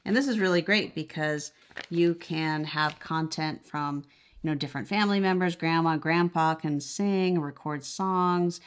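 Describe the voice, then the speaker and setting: A woman with a notably low-pitched voice talks through a product demonstration. She is casual and conversational, explaining each step as she goes. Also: a little slow, clean tone. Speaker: product reviewer.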